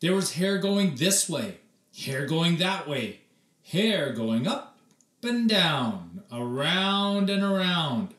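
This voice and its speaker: A man reading a children's story aloud expressively, with theatrical emphasis and dramatic pauses. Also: on the high side, big pitch swings, fairly bright tone, echoey room. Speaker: read-aloud storyteller, teacher.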